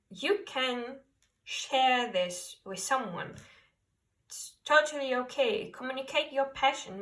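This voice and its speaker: A woman who speaks English as a second language gives an unhurried tarot reading, gentle and reassuring. Her tone is bright and clear, and she sounds a little set back from the microphone. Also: medium pitch, recorded from a distance. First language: not English. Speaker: tarot reader. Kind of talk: pick-a-card tarot reading.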